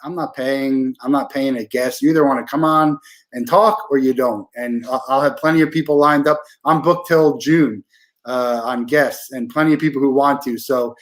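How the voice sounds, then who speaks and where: A man's voice: mid-range voice, fairly even intonation, normal speed. A man hosting a podcast interview, talking in an informal, chatty way with many fillers. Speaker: podcast host. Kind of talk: casual interview conversation.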